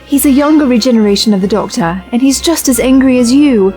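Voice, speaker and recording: A woman's voice: on the high side, a little fast, warm tone, natural voice, low-quality recording. A woman playing an earnest, betrayed rebel in an audio drama, with a pleading, intense manner. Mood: urgent, pleading. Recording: faint music behind the voice.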